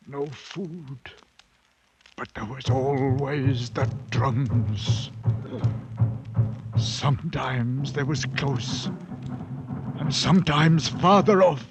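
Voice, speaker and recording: An actor playing a broken, half-mad British ex-soldier in a 1950s radio drama, halting and rambling in a working-class British accent. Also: male voice, on the high side. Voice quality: husky, frail. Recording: muffled vintage 1950s radio broadcast.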